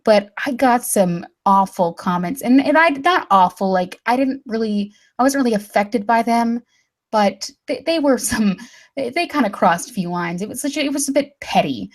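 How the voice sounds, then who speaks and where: A woman's voice: fairly high pitch, expressive, moderate pace. A young woman from Texas chatting casually as a guest, hesitant with many fillers and restarts, and dryly sarcastic. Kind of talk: casual chat.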